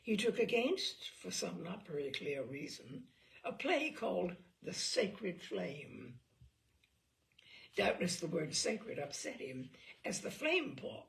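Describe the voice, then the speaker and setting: A woman's voice: medium pitch, vocal fry. A woman reading a comic memoir aloud in a wry, playful way, amused by the text. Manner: wry, playful, amused delivery with occasional slips and laughs. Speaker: reader of humorous essays.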